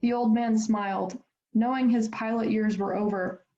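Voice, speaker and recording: A woman's voice: on the high side, fairly even intonation, normal speed, warm tone. A woman reading her own short story aloud in a writing workshop over a video call. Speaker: workshop participant. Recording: dull lo-fi call audio.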